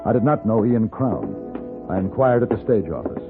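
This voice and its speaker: A suave gentleman gunfighter in an old radio western. His American voice is low and expressive, with urbane, wry delivery. The recording is narrow and muffled. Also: normal speed, music in the background. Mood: measured. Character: suave, dry-witted gentleman gunfighter for hire. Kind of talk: 1950s radio western acting and narration.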